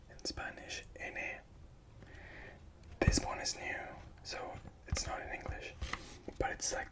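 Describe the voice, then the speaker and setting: Slow, soft whispering language tutor. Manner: whispering softly, repeating each sound slowly; patient, gently encouraging. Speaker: Spanish teacher. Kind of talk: whispered ASMR language lesson.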